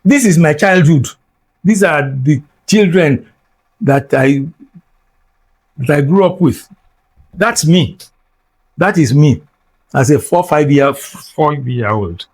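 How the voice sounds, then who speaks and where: A man's voice: on the high side, lively intonation, takes it slowly, long pauses. Emphatic older Nigerian man, lecturing. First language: Yoruba. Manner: emphatic and lecturing, repeats words for stress. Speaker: guest scholar. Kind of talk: reflective interview talk.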